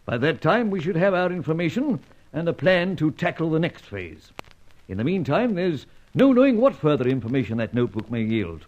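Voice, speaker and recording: Animated, high-pitched blustery British gentleman. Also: a little fast. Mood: hearty, explaining. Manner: blustering, hearty, sputtering. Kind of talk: old-time radio serial acting. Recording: dull lo-fi old radio recording.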